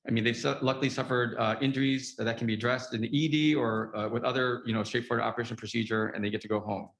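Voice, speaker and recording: A man speaking as a trauma surgeon at a summit, in an earnest, halting way with many fillers and restarts. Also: mid-range voice, steady tone, fairly bright tone, roomy sound, slight background noise. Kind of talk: spoken talk at a summit.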